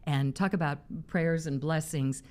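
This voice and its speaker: A woman's voice: low voice, unhurried, a little mellow. A woman in her sixties from Missouri, a guest chatting and telling stories about her life, often breaking into laughter. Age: 60s. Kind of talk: casual interview chat.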